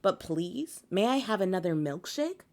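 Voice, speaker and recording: A woman's voice: medium pitch, sing-song, normal speed. Woman acting skittish, dramatic little schoolgirl Julie. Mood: polite, curious. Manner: exclamatory, hesitant, repeating herself. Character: young schoolgirl Julie, skittish, dramatic, easily won over.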